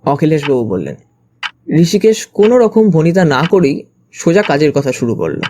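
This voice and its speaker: A man's voice: fairly high pitch, very animated, normal speed, dark tone, slight background noise. A man reading scripted first-person narration for a detective audio story. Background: background music.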